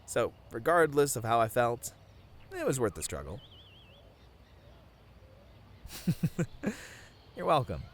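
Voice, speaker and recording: A man plays a sweet young boyfriend speaking tenderly to his partner in a romantic audio roleplay. His mid-pitched voice moves expressively up and down, earnest and a little bashful, with halting phrasing. Ambient noise is audible behind him. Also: very animated. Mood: playful, embarrassed. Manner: soft-hearted, halting, sighing, chuckling, gently earnest. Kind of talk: romantic ASMR roleplay monologue to the listener.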